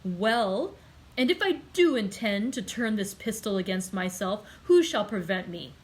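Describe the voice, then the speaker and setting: A woman voicing a grief-stricken, angry young man, reading him with loud, impassioned, accusing outbursts in an audiobook. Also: medium pitch, moderate pace, natural voice. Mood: anguished.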